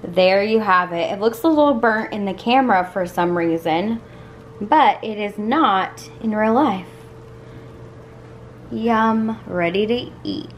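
A woman's voice: medium pitch, slow. A young woman talking casually as she cooks in her home kitchen, chatting and teasing herself along the way. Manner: chatty, self-teasing, talks while cooking. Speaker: cooking vlogger. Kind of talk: casual cook-along chat. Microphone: camera on the counter, at some distance. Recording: echoey kitchen with faint room noise.

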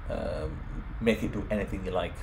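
A man's voice: low voice, normal speed, creaky, a little mellow, a little hiss or hum. A man presenting an informal home automation tutorial, explaining things step by step. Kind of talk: informal software screen walkthrough.

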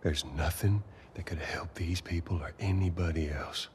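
A man playing a guarded, weary middle-aged survivor in a video game, with a terse, measured delivery. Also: low-pitched, a little hiss or hum, natural voice. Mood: firm, guarded. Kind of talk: naturalistic cinematic game acting.

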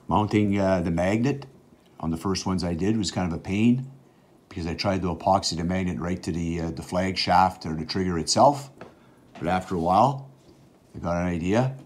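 A middle-aged man with a very low voice walks through a hands-on project in an easy, matter-of-fact way, filling pauses with the odd "uh." He talks while working at an indoor bench, the camera aimed down at his hands. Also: a little mellow. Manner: plain, matter-of-fact explaining with fillers. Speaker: outdoors channel host. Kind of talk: casual how-to demonstration. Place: indoors at a workbench.

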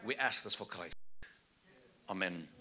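An older man from South Africa, a native Afrikaans speaker with a South African accent, preaching from a church pulpit, moving from soft asides to loud, emphatic preaching. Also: deep voice, lively intonation, a little slow. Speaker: evangelist. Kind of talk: sermon. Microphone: pulpit mic, medium camera.

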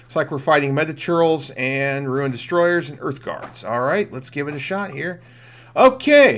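A man's voice: medium pitch, creaky. A middle-aged man doing casual gameplay commentary, thinking aloud and chatting informally to viewers. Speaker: game streamer.

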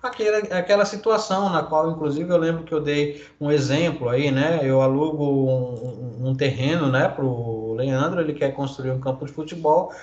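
A fairly high-voiced man with a Brazilian Portuguese accent lectures as a law professor on a video call. He reads legal text and then explains it with lots of fillers and tag questions. The audio is roomy and dull, like a lo-fi call recording. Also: normal speed, hardly any pauses, a little mellow. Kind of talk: online lecture reading and explaining legal articles.

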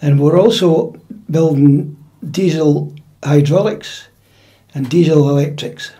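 A Scottish man in his seventies, recalling his working life in a measured, matter-of-fact way during a relaxed interview in a dining room at home. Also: a little high. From Scotland. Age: 70s. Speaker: interview guest, retired drummer. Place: dining room in a private house.

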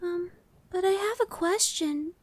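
A woman voicing a timid, anxious young female gargoyle in an ASMR roleplay, soft, girlish, hesitant and stammering. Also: extremely high pitch, measured pace. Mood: shy, hesitant. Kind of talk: ASMR audio roleplay acting.

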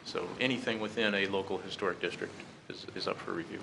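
A middle-aged American man giving a halting, measured formal briefing at a podium microphone. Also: low-pitched, steady tone, bright, forceful tone. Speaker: presenter to a city council. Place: council chamber, standing at a podium. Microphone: podium gooseneck microphone. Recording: clearly noisy meeting-room recording.

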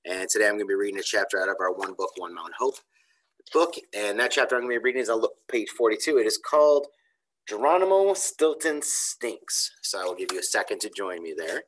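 A man's voice: on the low side, lively intonation, fast talker, fairly bright tone. A man in his forties, a schoolteacher, reading a children's storybook aloud with expression. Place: bright indoor school room. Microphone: computer webcam on a video call.

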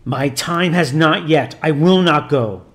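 A man voicing a snarling, defiant male demon in an audiobook reading, loud and slow. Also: a little high.

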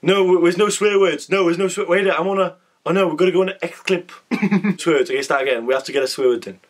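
Hoarse, excitable young man. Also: high-pitched, quick pace. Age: young adult. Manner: excitable, giggly, lots of "like". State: hoarse, voice strained from screaming. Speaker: music reaction vlogger. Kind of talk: casual reaction chat. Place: small bedroom, indoors. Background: song playing quietly.

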